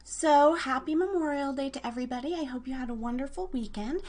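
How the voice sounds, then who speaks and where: A woman's voice: high-pitched, brisk, darkish tone. An American woman hosting a casual live show in a bubbly, chatty way with playful wording. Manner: bubbly, playful word coinages. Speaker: radio show host.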